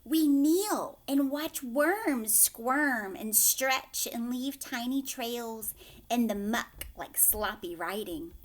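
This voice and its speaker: Slow, higher-pitched woman reading to children. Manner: expressive, asks the young listeners questions in asides. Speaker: teacher reading a picture book aloud. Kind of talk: read-aloud storytime for young children.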